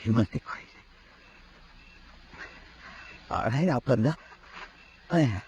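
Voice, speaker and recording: A man's voice: mid-range voice, expressive, brisk, darkish tone, dull audio, a little hiss or hum, close to an everyday voice. An actor playing a desperate, cornered gun smuggler in a 1950s radio drama, defiant and edgy, his voice climbing when he is pushed. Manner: edgy, desperate, voice rising under pressure.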